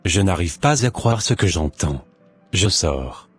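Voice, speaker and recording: A man's voice: sits low, big pitch swings, a little slow, slightly rough, soft background music, exaggerated voice. A man voicing a wounded, stern husband in an amateur cartoon dub, with a grave, earnest delivery. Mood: angry. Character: betrayed, wounded but loving husband, stern and brooding.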